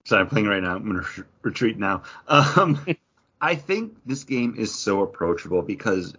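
A man's voice: fairly low pitch, big pitch swings, normal speed, bright, forceful tone, breathy edge, dull audio. A man hosting a podcast, giving thorough, casual explanations with enthusiasm. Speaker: podcast host explaining a game.

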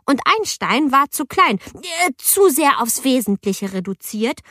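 Bright, lively ten-year-old girl narrator. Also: high-pitched, measured pace, no echo, clean studio sound, heavily acted. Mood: exasperated, lively. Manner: expressive, youthful, exasperated. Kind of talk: audiobook first-person narration.

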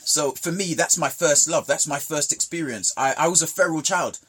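Man from jamaica, kinda husky, slightly high voice, chatty storyteller. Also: moderate pace, fairly bright tone. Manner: storytelling, emphatic repetition. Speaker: guest. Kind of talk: casual conversation.

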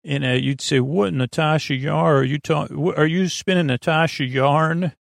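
A man hosting a bedtime podcast in a meandering, halting monologue, stammering, correcting himself and drifting off on tangents while reassuring the listener. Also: steady tone, no echo, clean studio sound. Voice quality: creaky, gravelly. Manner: reassuring, low-pressure. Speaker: podcast host. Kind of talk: rambling scripted-loose bedtime podcast monologue.

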